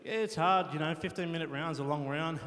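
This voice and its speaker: A man's voice: a little high, brisk, fairly bright tone. A man giving a casual, easygoing post-match interview. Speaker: competitor being interviewed. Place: competition arena. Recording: echoey hall with long reverb.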